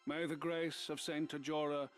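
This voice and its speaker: An actor playing a solemn priest who intones funeral rites ceremonially in a scripted game drama. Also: male voice, a little high, flat delivery, normal speed, soft background music, close to an everyday voice.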